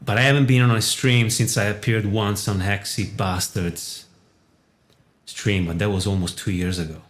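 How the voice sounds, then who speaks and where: A man's voice: fairly low pitch, steady tone, moderate pace. A man from Italy, talking casually as a guest on a livestream. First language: Italian. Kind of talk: casual chat.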